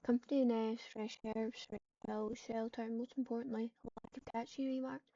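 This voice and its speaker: A woman reading roleplay lines aloud as she plays, in a flat, subdued way. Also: fairly high pitch, normal speed, slight background noise. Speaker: online roleplayer. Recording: muffled, distant mic with keyboard typing.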